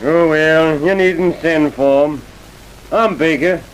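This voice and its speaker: An actor playing a brazen, bullying farmhand and boastful killer, taunting in slang in the style of old-time radio drama. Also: male voice, a little high, slow pace, rough recording. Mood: cocky. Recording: hissy vintage radio recording.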